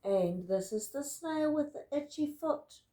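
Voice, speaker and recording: A woman reading a rhyming picture book aloud in an expressive, deliberate storytelling manner. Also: sits high, roomy sound. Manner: dramatic, deliberate storytelling with emphatic words. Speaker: storybook reader.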